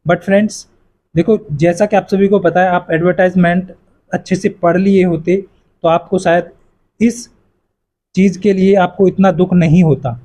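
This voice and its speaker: High, mellow-voiced man explaining exam cutoffs. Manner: repetitive, fills with "yahan par" and "jo hai"; addresses viewers as friends, reassuring. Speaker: exam coaching YouTuber. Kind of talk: casual explainer to students.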